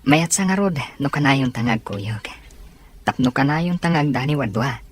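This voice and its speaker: An actor playing a sincere, patient young suitor, speaking earnestly in an Ilocano radio drama. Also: male voice, mid-range voice, expressive, slow pace, slightly rough.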